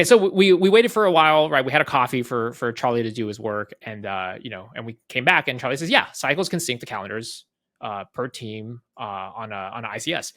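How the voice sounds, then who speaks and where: A man with a mid-pitched voice gives an informal software walkthrough at a brisk clip. He explains conversationally, regularly checking that the listener follows and acting out short bits of dialogue. The sound is dry, with very little room. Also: no room sound. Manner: conversational, often checks in with tag questions and acts out short exchanges. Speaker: guest product leader giving a software demo. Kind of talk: casual off-the-cuff product walkthrough.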